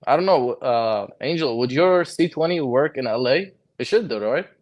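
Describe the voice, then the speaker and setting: A young man in his twenties from Lebanon, a guest chatting casually and telling his story in a relaxed way. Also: medium pitch, normal speed. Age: 20s. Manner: relaxed storytelling, lots of "bro" and "like", acts out quoted conversations. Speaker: guest, HVAC technician. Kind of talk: casual podcast chat.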